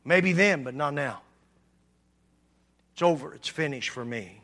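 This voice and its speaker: A man preaching a sermon, swinging from quiet talk to loud, shouted passages. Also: on the high side, a little slow. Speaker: pastor.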